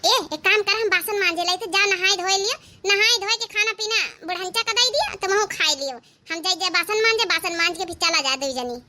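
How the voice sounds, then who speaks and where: A woman with an Awadhi accent voicing a defiant, plotting village daughter-in-law in a comedy cartoon, brisk and bickering. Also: very high voice, pushed and bright, a little hiss or hum, heavily acted.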